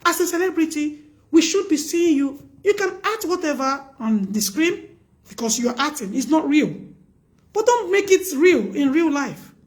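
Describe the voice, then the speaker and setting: A woman with a loud voice hosting a live stream, giving earnest, preachy relationship advice and repeating her points for emphasis. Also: high voice, big pitch swings, normal speed.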